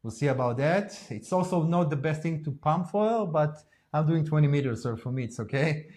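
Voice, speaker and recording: A young adult man from Israel, a podcast guest in casual chat, relaxed and halting, often repeating "yeah, yeah, yeah". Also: fairly high pitch.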